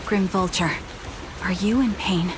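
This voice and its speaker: A woman's voice: mid-range voice, measured pace, close to an everyday voice. An actress playing a composed, resolute young female sniper soldier in a video game, terse and solemn. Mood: calm, grave. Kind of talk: English game voice acting. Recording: loud music behind the voice. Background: loud game music.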